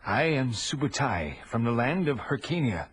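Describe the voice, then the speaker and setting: Wildly expressive roguish thief. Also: male voice, mid-range voice, moderate pace, breathy edge. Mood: rueful. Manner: theatrical, archaic, expressive. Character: wry, loyal male thief and archer companion. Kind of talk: 1980s audio drama acting.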